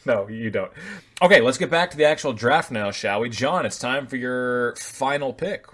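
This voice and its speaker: A man's voice: mid-range voice, animated, moderate pace, a bit bright. A man hosting a podcast, upbeat in casual chat and steering the conversation back on track. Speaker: podcast host.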